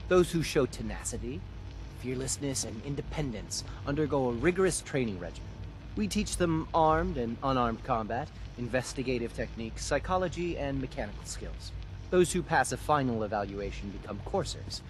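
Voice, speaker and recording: A man's voice: medium pitch, lively intonation, moderate pace, a little hiss or hum, lightly acted, rough recording. Game bureaucrat, male actor: suspicious bureau director, mid-range voice with emphatic swings, cold and officious, music underneath. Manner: clipped, officious, condescending. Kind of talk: video game dialogue acting.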